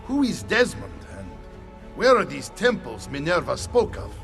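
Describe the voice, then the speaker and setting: A loyal assassin ally. His gravelly, mid-pitched voice moves expressively while staying formal and reassuring, with soft music behind. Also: huge pitch swings, moderate pace, full character voice. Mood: inquiring. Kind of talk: video game cutscene acting.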